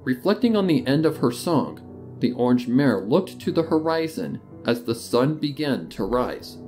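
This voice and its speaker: A woman's voice: rumbling low, sing-song, measured pace, mellow. A woman reading a dramatic story as scripted narration. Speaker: story reader. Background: guitar music.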